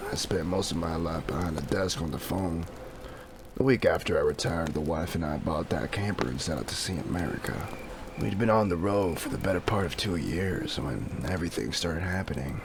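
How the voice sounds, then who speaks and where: A man acting a deep, gravelly old widower. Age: 60s. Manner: folksy, plain-spoken. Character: weary kindly old retired salesman and widower. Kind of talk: comic dub acting. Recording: clearly noisy background hiss and ambience.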